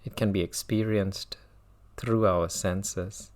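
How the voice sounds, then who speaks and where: A man guiding a mindfulness meditation as a teacher, speaking in measured phrases with long pauses and repetition. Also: low voice, steady tone, darkish tone.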